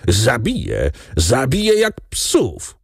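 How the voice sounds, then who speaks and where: A man's voice: mid-range voice, wildly swooping pitch, takes it slowly, creaky, slightly rough, no echo, studio quality, pitched above the performer's own voice, full character voice. Man playing a wildly swooping, furious blustering count. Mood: enraged. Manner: sputtering, blustering, exclamatory. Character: furious hot-tempered count, cursing and threatening servants.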